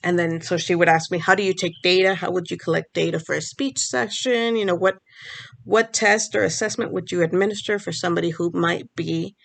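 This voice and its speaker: Creaky-voiced woman vlogger, native Spanish speaker. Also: on the low side, fairly even intonation, fairly quick. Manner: explanatory, conversational, restarts phrases. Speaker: vlogger and speech pathology grad student. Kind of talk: casual talk to camera.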